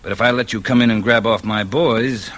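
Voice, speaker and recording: An actor playing a smooth gangster boss in 1940s radio crime drama style, genial on top with understated menace. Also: male voice, on the low side, fairly even intonation, fairly quick, a bit bright. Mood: calm menace.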